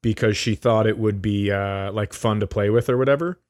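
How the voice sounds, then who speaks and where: A man's voice: fairly low pitch, fairly quick, darkish tone. A man co-hosting a podcast in casual comedic banter, teasing, rambling off on tangents and swearing. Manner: profane, teasing, digressive. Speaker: podcast co-host.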